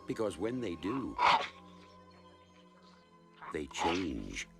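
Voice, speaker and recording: An actor voicing a grave man who gives slow, measured, portentous warnings in a film trailer. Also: low-pitched, wildly swooping pitch, creaky, full character voice. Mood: grave, ominous. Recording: loud music under film trailer audio.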